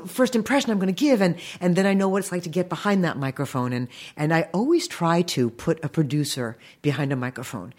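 A woman's voice: low voice, a little mellow. An American woman in her fifties telling anecdotes in a casual interview, speaking with enthusiasm and acting out quotes. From Long Island, New York, United States. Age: 50s. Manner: enthusiastic, effusive, animatedly quoting people in stories. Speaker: interview guest, animation voice director.

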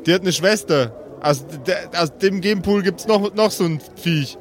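A man's voice: sits high, normal speed, voice pushed up, lightly acted. A man voicing a laid-back, dim male orc party bro who rambles in slang for a tabletop game. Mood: laid-back, rambling. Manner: slangy, stoner-like drawl, repeats himself.

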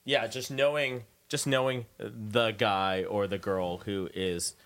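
An American man with a fairly low voice hosts a casual radio interview. His questions come out loose and informal, with joking asides mixed in. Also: steady tone, slow pace, fairly bright tone. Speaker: radio show host, interviewer.

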